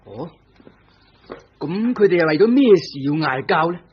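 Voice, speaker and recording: A husky stern police inspector. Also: male voice, a little high, expressive, normal speed. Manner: firm, pressing interrogation. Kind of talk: vintage radio drama acting. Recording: dull lo-fi old radio recording.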